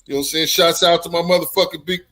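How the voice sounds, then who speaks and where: A man's voice: fairly high pitch, level tone, very fast, fairly bright tone, slight background noise. A man hosting a casual podcast, signing off in laid-back slang and repeating "you know what I'm saying". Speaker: podcast host.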